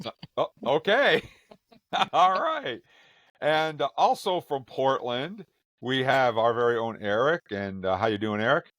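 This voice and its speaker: A man's voice: medium pitch, expressive, measured pace, a bit bright, dry recording, studio quality. A man hosting a casual podcast, introducing his co-hosts with an upbeat, jokey manner. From Vermont. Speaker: podcast host.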